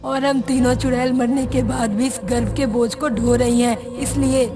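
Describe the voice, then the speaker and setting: Woman doing a tired witch, highish voice, resigned, cartoon story, loud music behind. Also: fairly even intonation, normal speed. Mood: matter-of-fact menace. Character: weary pregnant female witch longing for release. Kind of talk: Hindi animated moral story voice acting.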